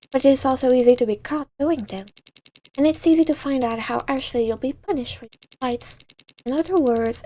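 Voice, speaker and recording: A woman's voice: high voice, mellow. A woman reading visual novel narration aloud in a dramatic storytelling way, now and then stumbling over words.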